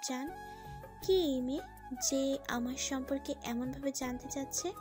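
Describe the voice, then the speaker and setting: A woman voices a clingy young wife in a romantic audio story. She speaks in a high voice, possessive and protective, with faint music behind her. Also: unhurried, a little mellow. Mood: pouty.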